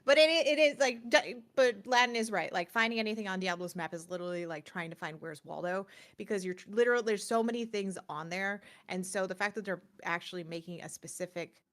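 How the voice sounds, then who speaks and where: Woman host just chatting about video games, animated when she quotes people, lots of asides. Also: medium pitch, brisk, hardly any pauses. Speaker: host of a gaming news show.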